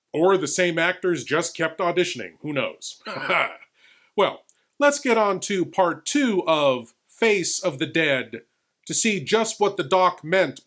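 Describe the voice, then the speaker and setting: High-pitched, swooping male horror host. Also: a bit bright. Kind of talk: scripted hosting commentary on classic television.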